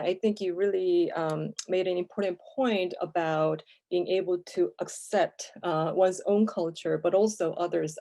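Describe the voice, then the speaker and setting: A woman moderates a panel and speaks hesitantly, filling pauses with "uh" and "um." Her voice is low, mellow and gravelly with vocal fry. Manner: hesitant, many fillers.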